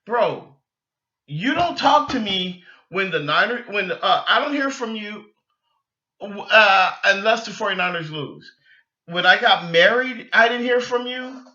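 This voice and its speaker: A man hosting a sports fan podcast, ranting off the cuff in a halting, repetitive, exasperated way. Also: high voice, animated, sharp tone. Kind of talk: unscripted sports fan rant. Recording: dull lo-fi sound, echoey room.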